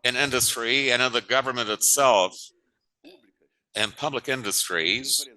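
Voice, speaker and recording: Bright, halting male conference interpreter. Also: medium pitch, low-quality recording. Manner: halting, restarts and rephrases phrases.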